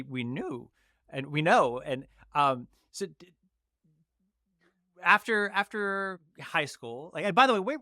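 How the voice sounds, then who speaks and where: Bright-voiced man hosting a friendly chat. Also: fairly high pitch, no room sound, clean studio sound. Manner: friendly, halting questions with many restarts. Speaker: interview host. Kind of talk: casual interview chat.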